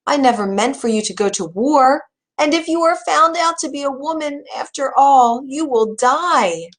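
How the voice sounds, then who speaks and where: A woman's voice: high-pitched, expressive, moderate pace, pitched above the performer's own voice. A woman voicing a weary, loving old father in a storybook, sighing and resigned as he speaks. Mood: anxious, pleading.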